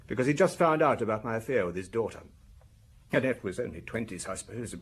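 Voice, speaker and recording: An actor playing a weary, defensive husband under police questioning in a radio drama, speaking hesitantly and explaining himself in broken sentences. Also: male voice, on the low side, animated, quick pace, slightly rough. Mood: weary, candid.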